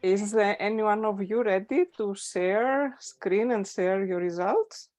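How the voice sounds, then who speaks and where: A woman's voice: medium pitch, unhurried, darkish tone. Polite woman moderating an online workshop. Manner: polite, encouraging.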